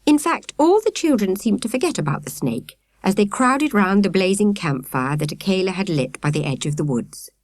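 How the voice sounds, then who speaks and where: Expressive woman reading, animated pitch. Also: normal speed, few pauses, no room sound, clean studio sound. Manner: expressive, carefully phrased storytelling. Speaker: audiobook narrator. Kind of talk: scripted children's story narration.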